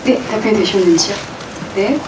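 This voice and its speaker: A woman moderating a press conference, speaking in a polite, brisk and formal way. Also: medium pitch. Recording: echoey hall, distant mic, noisy room.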